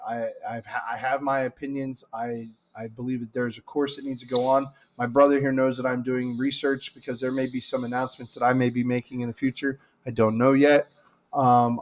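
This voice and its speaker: A male co-host talking casually about politics. He rambles and restarts often, at an ordinary mid pitch. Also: slight background noise. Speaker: podcast co-host.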